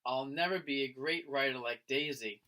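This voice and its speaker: A man's voice: mid-range voice, moderate pace, bright, forceful tone, voice pushed down, natural voice, low-quality recording. A man voicing a frustrated schoolboy stuck on his writing, plaintive and dramatic, in a picture book. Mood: hesitant, plaintive. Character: frustrated schoolboy with writer's block.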